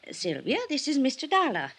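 An actress playing a genteel, absent-minded older widow in an old-time radio drama. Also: female voice, high voice, wildly swooping pitch, a little fast, fairly bright tone, exaggerated voice. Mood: flustered, gracious. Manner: rambling, absent-minded, gracious. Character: genteel, fluttery aging widowed former socialite and dancer. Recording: noisy vintage radio recording.

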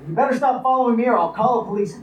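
A man's voice: very high-pitched, very fast, lo-fi sound. A man playing an indignant, conceited young rich bachelor in an old-time radio play, huffy and accusing. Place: live radio play performance in a roomy space. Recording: echoey room, far mics, faint noise.

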